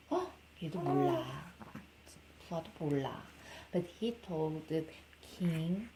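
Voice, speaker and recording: Casting: young mother, Korean native with Korean accent, mid-pitched, reading a storybook to baby; slightly noisy home recording. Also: darkish tone. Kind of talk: storybook read-aloud with informal baby talk.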